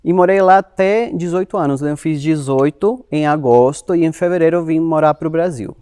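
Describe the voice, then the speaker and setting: A young Uruguayan man, Spanish his first language, with a Uruguayan Spanish accent, chats as an interview guest. His voice sits somewhat high and sounds dry and close, with no room around it. He tells his story expressively, acting out his own past reactions. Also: brisk, clear, resonant tone, no room sound. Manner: animated, expressive, quotes himself in lively voices.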